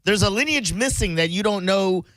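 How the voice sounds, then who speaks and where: A man's voice: sits high, animated, normal speed, fairly bright tone, dry recording. A man co-hosting a radio show, chiming in with wry quips and short reactions in casual banter. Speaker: radio co-host.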